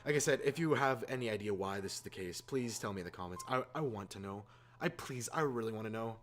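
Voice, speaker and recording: A man's voice: on the low side, expressive, quick pace. A man hosting a casual opinion rant, exasperated and informal, repeating words for emphasis. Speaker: YouTube host. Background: background music.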